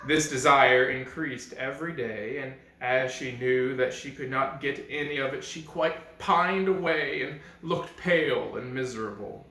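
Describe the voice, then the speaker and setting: Slow, expressive male storyteller in echoey room. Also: mid-range voice, slight background noise. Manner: expressive, dramatic, deliberate phrasing. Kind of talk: scripted fairy-tale narration. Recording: echoey, roomy sound.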